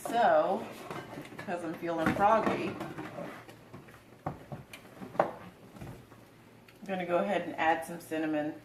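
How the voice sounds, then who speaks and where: Relaxed woman demonstrating a recipe in her kitchen, loose and chatty, recorded from a distance. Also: on the low side, slow, long pauses, roomy sound, noisy background. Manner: chatty and relaxed, with filler words. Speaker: home cooking demonstrator. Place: home kitchen.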